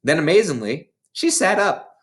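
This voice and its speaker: An expressive storybook narrator with a highly animated delivery. The reading is dramatic, swinging widely in pitch as the tale is told. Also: normal speed. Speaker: storybook reader. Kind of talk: expressive read-aloud narration of a children's novel.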